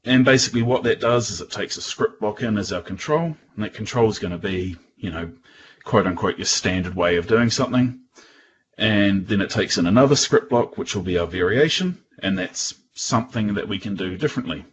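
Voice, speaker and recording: Low-voiced New Zealand man, muffled recording. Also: expressive, fairly quick, a little hiss or hum. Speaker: guest presenter at a tech user group. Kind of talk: informal technical presentation.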